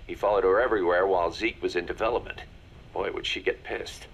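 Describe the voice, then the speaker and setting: A man's voice: low-pitched, steady tone, fast, slightly rough, low-quality recording. A man playing a shrewd, hard-nosed mercenary second-in-command, assured and businesslike, in a video game audio drama. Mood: cool, pragmatic.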